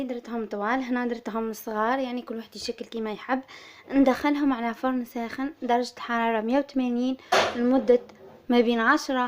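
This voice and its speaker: A woman with an Algerian Arabic accent who is slightly hoarse from illness, calmly explaining a recipe as a cooking-channel host. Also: on the high side. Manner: soft, subdued, steady explaining. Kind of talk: recipe tutorial voice-over.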